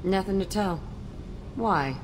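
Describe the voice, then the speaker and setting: A woman's voice: mid-range voice, slow, background noise, close to an everyday voice. An actress playing a tough, cynical young female ex-convict in a video game, curt, abrasive and sardonic. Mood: guarded, defiant. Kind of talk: video game character acting.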